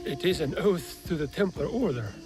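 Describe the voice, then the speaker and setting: A man's voice: a little high, lively intonation, normal speed, music in the background, lightly acted. An actor playing a courteous, devout European man in a video game, speaking in a formal, reflective way. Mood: solemn, confiding. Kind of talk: video game cutscene acting.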